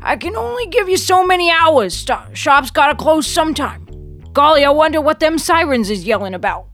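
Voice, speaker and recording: A woman's voice: sits high, big pitch swings, normal speed, fairly bright tone, soft background music, pitched above the performer's own voice. A woman voicing a chatty, friendly old male shopkeeper who exclaims in a rustic, folksy dialect. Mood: loud, chummy.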